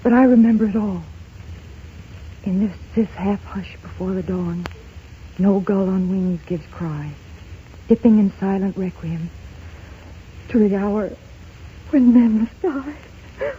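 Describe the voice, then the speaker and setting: An actress playing a frightened, fragile young wife in a 1930s radio drama, with a wistful, tremulous delivery. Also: female voice, medium pitch, takes it slowly, pauses often, lightly acted. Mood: wistful, emotional. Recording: muffled, hissy 1930s radio broadcast.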